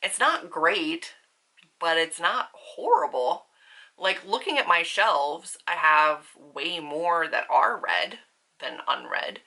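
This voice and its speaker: A woman speaks in a forward, bright voice that sits a little lower than average. She chats informally to her viewers like a seasoned vlogger, with slangy, self-deprecating asides. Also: lively intonation, slow, vocal fry. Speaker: book vlogger. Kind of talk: casual chat to viewers.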